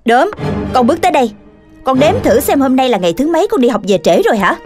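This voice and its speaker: A stern mother dog in a cartoon, scolding her boy with a lively, rising and falling voice. Faint music sits behind her. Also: sits high, quick pace. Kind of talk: cartoon voice acting. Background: background music.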